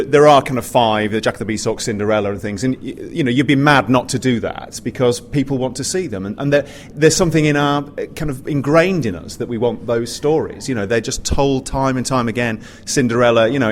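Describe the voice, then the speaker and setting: A man's voice: mid-range voice, fast talker, few pauses. A British man speaking informally on a panel, in a conversational, stop-start way with repeated words. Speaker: panelist, pantomime writer and director. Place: live panel in a theatre. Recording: faint background noise, live event.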